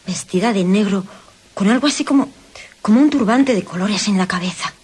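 A woman's voice: medium pitch, fairly quick, darkish tone, slightly rough, natural voice. An actress playing a dramatic, easily alarmed lady companion in a radio play. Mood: horrified, hushed.

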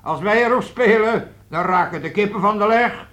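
A man's voice: high-pitched, normal speed, a crowd in the background, lightly acted. An actor playing a brash, proud medieval townsman who leads guild archers, blunt and mocking, in a radio play. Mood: rousing speech. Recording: faintly noisy old radio play recording.

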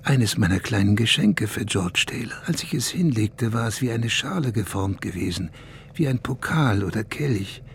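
An actor playing a wistful, bitter man in a first-person radio drama, speaking in a measured, intimate way. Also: on the low side, animated. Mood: brooding. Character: wistful man recalling a lost idol, brooding and bitter.

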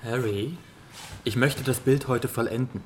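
An actor playing an earnest, devoted gentleman painter in a German radio play, courteous and somewhat pleading. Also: medium pitch, measured pace, slight background noise, natural voice. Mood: polite, uneasy.

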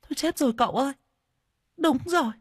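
A man's voice: extremely high pitch, fast talker, dry recording, studio quality, pitched above the performer's own voice. A man voicing a flustered older village woman, stammering anxiously in a narrated story. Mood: panicked. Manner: stammering, agitated.

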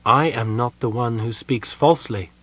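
A man's voice: mid-range voice, moderate pace, a bit bright, no echo, lightly acted. A man voicing a young girl prisoner in a fantasy audiobook, serenely devout and defiant, with a cool, earnest manner. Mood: fervent, certain. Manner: cool, earnest, with a hint of iron.

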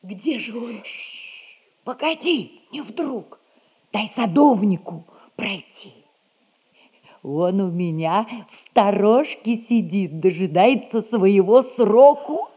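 An actress playing a brisk, scheming old servant nanny in a classic radio comedy, bantering in folksy speech. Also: female voice, mid-range voice, expressive, dark tone, slightly rough. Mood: playful, conspiratorial.

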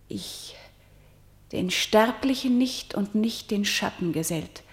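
In a roomy, echoing space, a condemned young heroine of ancient tragedy. She declaims slowly and solemnly, grieving yet defiant, in verse for a radio play. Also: mid-range voice, animated. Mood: hushed anguish. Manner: solemn, measured, dropping from ringing lament to whisper. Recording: echoey, roomy studio sound.

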